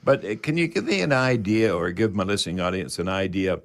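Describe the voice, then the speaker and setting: An older man with a Canadian accent hosting a casual radio talk show in a folksy, halting way. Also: low voice, animated.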